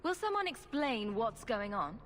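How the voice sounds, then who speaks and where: A woman's voice: on the high side, big pitch swings, measured pace. A woman playing a wry, inquisitive adventurer in a video game, blunt and good-humoured. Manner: wry, questioning. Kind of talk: video game hero dialogue. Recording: loud music behind the voice.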